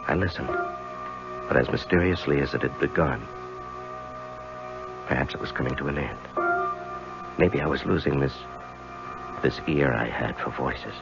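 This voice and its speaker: Very deep, anxious radio-drama husband. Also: male voice, measured pace, creaky, pauses often, exaggerated voice. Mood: brooding, confiding. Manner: hesitant, evasive, brooding first-person narration. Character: anxious husband haunted by voices, narrating his tale. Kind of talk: 1970s radio drama acting. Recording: dull 1970s radio recording, music behind.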